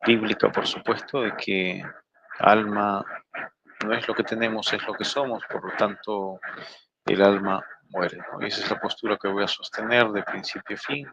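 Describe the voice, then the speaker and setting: A man from Peru, arguing his position in an online debate in a measured way. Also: on the low side, vocal fry, pushed and bright, rough recording.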